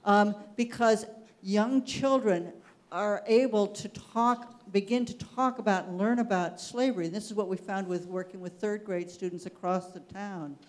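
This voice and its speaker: A woman speaking in a measured, earnest way, making an audience comment at a panel discussion. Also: medium pitch. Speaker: audience member, education committee chair. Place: large theatre hall.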